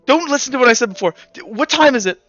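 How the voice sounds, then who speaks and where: A man voicing a flustered, hurried mother who stammers as she rushes off. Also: very high-pitched, animated, a bit bright, faint music behind, voice pushed up. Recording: dull lo-fi recording.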